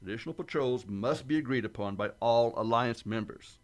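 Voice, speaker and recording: A man playing a steady starship captain in a sci-fi radio play, speaking with formal military authority. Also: medium pitch, a little fast, lightly acted. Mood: grave.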